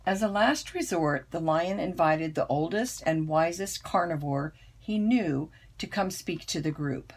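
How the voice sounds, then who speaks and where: An older woman, a grandmother, reading a children's picture book aloud with expressive, storytelling emphasis. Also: deep voice. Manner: unhurried, dramatic storytelling emphasis.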